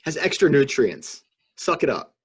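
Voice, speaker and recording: A man co-hosting a podcast with dry, deadpan joking in casual banter over a video call. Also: on the high side, normal speed, slightly rough. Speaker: podcast co-host.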